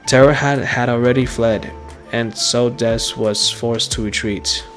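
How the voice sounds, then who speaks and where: Slow, halting male game streamer reading aloud. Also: medium pitch, steady tone, fairly bright tone, faint music behind. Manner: halting reading with stumbles and repeated words. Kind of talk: reading game story text aloud dramatically.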